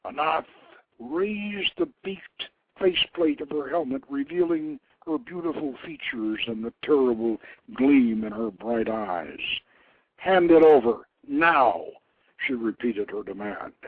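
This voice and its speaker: A man reading a story aloud in a dramatic, theatrical style with deliberate phrasing, over a tinny phone line. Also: medium pitch, sing-song, gravelly, a little hiss or hum. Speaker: storyteller, show host.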